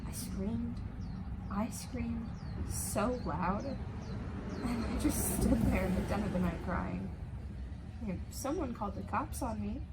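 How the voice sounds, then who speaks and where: A young woman playing a grieving, angry teenage girl in an anguished stage monologue, on a noisy recording. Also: medium pitch.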